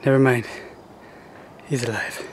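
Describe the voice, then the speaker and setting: Brief: young male hiker with wry humor, average pitch, noisy camera sound. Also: fairly even intonation, unhurried. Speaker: co-host. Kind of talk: casual hiking vlog chat. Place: outdoors on a steep mountain trail. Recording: noisy outdoor camera audio.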